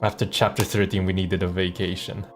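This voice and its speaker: A man's voice: low voice, level tone, a little fast, faint music behind. A man giving casual, amused game livestream commentary, rambling and repeating words as he thinks aloud. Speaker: live streamer and voice actor.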